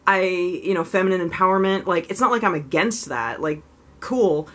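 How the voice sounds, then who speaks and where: A woman talking candidly straight to her vlog audience, her voice sitting notably low for a woman. She rambles and circles back, filling her sentences with "like" and "you know". She speaks openly and thinks aloud as she goes. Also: moderate pace, fairly bright tone. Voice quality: low voice she raises slightly higher than natural. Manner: frank, self-reflective. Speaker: vlogger. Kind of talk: casual confessional vlog.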